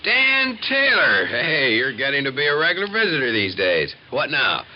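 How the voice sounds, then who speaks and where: Animated 1950s radio defense officer. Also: male voice, medium pitch, moderate pace, slight background noise. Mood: friendly. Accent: American. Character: affable, authoritative male civil defense warning officer. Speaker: attack warning officer. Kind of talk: 1950s radio drama acting. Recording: dull vintage radio recording, busy room behind.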